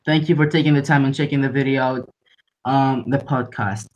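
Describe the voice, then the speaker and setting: A young man with a mid-pitched voice talks casually as a podcast host addressing viewers. There is faint noise and some clicking on the voice-chat recording. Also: steady tone, brisk, darkish tone, rough recording. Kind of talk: casual livestream sign-off chat.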